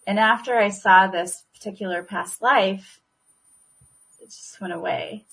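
A woman's voice: medium pitch, measured pace, a bit bright, breathy edge. A woman guest talking casually about her own experiences, chatty and often laughing. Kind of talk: casual conversation.

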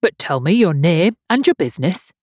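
A man voicing a pompous, touchy egg creature from a storybook, haughty and blustering, with halting stammers. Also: a little high, sing-song, dry recording, clean studio sound, pitched above the performer's own voice. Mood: impatient, haughty. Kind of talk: storybook character reading.

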